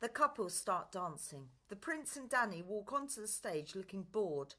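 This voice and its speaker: A woman's voice: medium pitch, big pitch swings, moderate pace, fairly bright tone. Woman narrating a radio play, reading out stage directions, voice moves around a lot.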